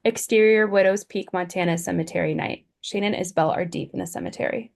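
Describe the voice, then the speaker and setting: A woman reading stage directions as scripted narration, with a creaky voice. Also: lowish voice, fast.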